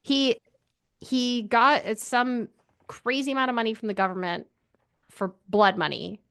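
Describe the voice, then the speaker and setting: A woman's voice: fairly high pitch, a little slow, fairly bright tone, smooth. Opinionated woman chatting casually. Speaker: book club podcast co-host. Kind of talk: casual book discussion chat.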